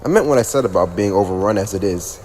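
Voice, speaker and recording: A haughty young demon lord played by a man toys with the listener in a theatrical ASMR roleplay. The voice sits a little low and swings widely in pitch, smug and mockingly playful. It rings with long reverb over faint noise. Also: animated, darkish tone, natural voice. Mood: businesslike, smug. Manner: haughty, teasing, theatrical, with drawn-out pauses. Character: haughty teenage demon lord, playfully sadistic ruler in hell.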